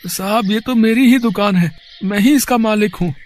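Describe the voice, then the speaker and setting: A man's voice: high-pitched, moderate pace, natural voice. A man playing a humble, earnest poor village husband in a cartoon story, speaking in a hesitant, respectful, pleading way. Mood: anxious, pleading. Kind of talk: acted cartoon story dialogue.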